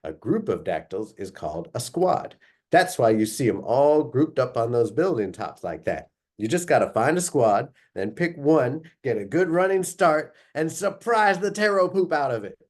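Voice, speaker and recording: Man reading as a brisk, folksy motherly woman. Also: mid-range voice, very animated, voice pushed up. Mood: brisk, coaching. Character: brisk, motherly woman giving instructions to kids.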